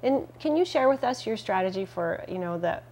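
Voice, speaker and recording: A middle-aged woman hosting a TV studio interview with a lapel mic, warm and encouraging, with frequent affirmations. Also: mid-range voice, animated, fairly quick. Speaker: host. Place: small TV studio set.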